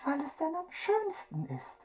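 Casting: deranged lovesick phantom, radio play; slow, theatrical menace, hugely varied pitch, music underneath. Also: male voice, wildly swooping pitch, a little mellow, full character voice. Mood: wooing, grandiose. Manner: theatrical, wildly swinging from whispers to high calls. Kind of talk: radio drama acting.

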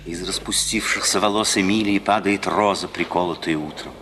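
An actor playing the grave narrator of a 1950s radio tragedy, reading stage directions in a measured, solemn manner. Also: male voice, low voice, a little hiss or hum. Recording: 1950s radio recording with loud music.

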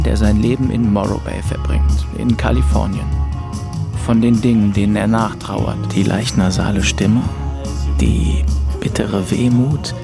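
Low male voice, young grieving student, radio drama narration, terse, introspective, creaky, music underneath. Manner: terse, introspective, short clipped phrases. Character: grieving, rootless 22-year-old male student, bitter and melancholy. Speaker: narrator and lead in an audio drama. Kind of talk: first-person scripted narration in German radio drama. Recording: music behind the voice.

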